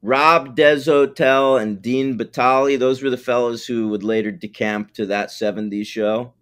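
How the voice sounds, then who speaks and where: Casual male podcast co-host, mid-pitched, lively and foul-mouthed, easygoing banter. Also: normal speed, clear, resonant tone. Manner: animated, profane, joking.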